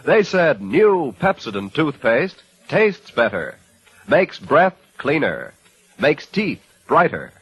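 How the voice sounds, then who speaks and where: A man's voice: a little high, wildly swooping pitch, slow pace, a bit bright, slightly rough. A man reading a scripted 1940s radio advertisement. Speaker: commercial announcer. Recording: dull vintage radio commercial recording.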